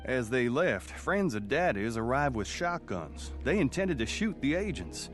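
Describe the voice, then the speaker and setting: Folksy Southern country narrator, mid-pitched storytelling over prominent music, radio drama. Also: male voice, big pitch swings, a little slow, a bit bright. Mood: reflective, storytelling. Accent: rural Southern American. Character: folksy country son recounting his rural boyhood. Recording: music under the voice.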